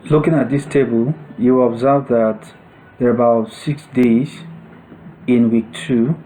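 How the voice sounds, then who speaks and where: A man narrating a step-by-step software tutorial as an instructor, explaining things in short, separated phrases. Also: medium pitch, fairly even intonation, unhurried, a little hiss or hum, poor audio.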